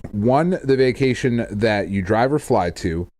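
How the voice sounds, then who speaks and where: A man's voice: medium pitch, lively intonation, measured pace, darkish tone. A man co-hosting a podcast in casual chat about video games, thinking aloud in a conversational way. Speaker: podcast co-host.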